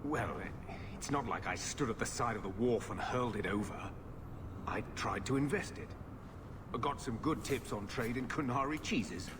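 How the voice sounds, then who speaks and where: An actor voicing a bitter, self-justifying older uncle in a video game, grumbling and sardonic. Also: male voice, mid-range voice, normal speed, long reverb tail, background noise, close to an everyday voice. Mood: defensive, evasive.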